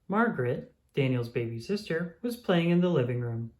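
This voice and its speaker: Picture-book narrator: expressive, animated, clear storytelling for small children. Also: mid-range voice, moderate pace. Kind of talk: expressive scripted read-aloud narration.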